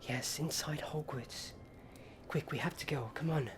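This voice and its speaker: A man playing an anxious, urgent young wizard student in an ASMR fantasy roleplay, hushed and halting, with clear noise in the recording. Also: on the high side, lightly acted.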